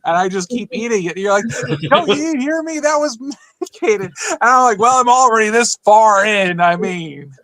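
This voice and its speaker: A man's voice: high voice, animated, normal speed, a bit bright, no echo. A man co-hosting a podcast, telling stories in a casual, comic way. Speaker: podcast co-host.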